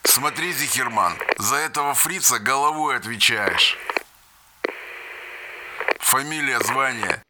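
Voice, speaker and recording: A man voicing a gruff, commanding Soviet colonel on the telephone in a radio play, giving curt orders. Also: medium pitch, expressive, slow, long pauses, heavy background noise. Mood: stern, curt.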